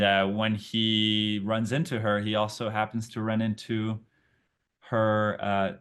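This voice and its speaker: Chatty lower-voiced man, native Portuguese speaker. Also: fairly bright tone. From Brazil. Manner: friendly, chatty, thinks aloud with fillers. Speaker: actor, interview guest. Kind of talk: casual interview answers.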